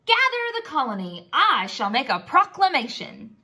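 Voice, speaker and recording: Woman voicing a regal old grandpa mole rat, bright. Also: high voice, huge pitch swings, normal speed, exaggerated voice. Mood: heroic, regal. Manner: solemn, proclaiming. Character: wise old male naked mole rat, regal elder. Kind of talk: storybook read-aloud for children.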